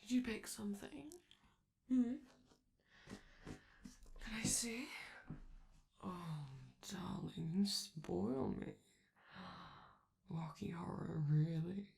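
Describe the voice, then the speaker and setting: A woman as a slow, creaky, flamboyant robot star. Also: mid-range voice, a little mellow, slight background noise. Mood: delighted, teasing. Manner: theatrical, affectionate, drawn-out phrasing, calls listener darling. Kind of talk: ASMR comfort roleplay, addressing the listener.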